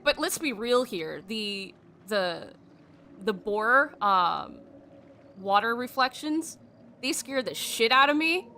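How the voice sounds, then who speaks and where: A woman's voice: fairly high pitch, takes it slowly, a little hiss or hum. A woman streamer giving casual, exclamatory reactions while playing a game. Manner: expressive, exclamatory. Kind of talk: casual let's-play reactions. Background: game music.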